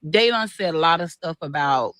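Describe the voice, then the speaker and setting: A woman's voice: deep voice, normal speed, dry recording, studio quality. A woman in her forties from Florida calling in to a live stream, chatty and eager. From Florida, USA. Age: 40s. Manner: excited, chatty, eager fan. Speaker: caller. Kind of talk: phone-in call on a live stream.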